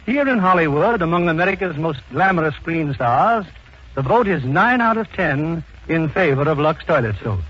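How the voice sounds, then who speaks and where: A man's voice: a little high, lively intonation, moderate pace, a bit bright, husky, lo-fi sound. A man hosting a radio theater, reading a scripted announcement in 1940s broadcast style.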